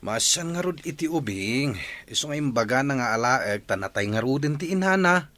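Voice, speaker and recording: A man's voice: mid-range voice, expressive, moderate pace, pushed and bright, no room sound. A man acting a put-upon, defensive village husband in a radio comedy drama, grumbling and argumentative.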